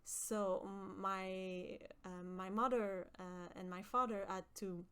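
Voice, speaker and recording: A young woman from with a high voice, chatting with her stream viewers and often pausing with fillers. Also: fairly even intonation, fairly bright tone, clean tone. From Quebec. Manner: hesitant, many fillers and restarts. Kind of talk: casual chat with stream viewers.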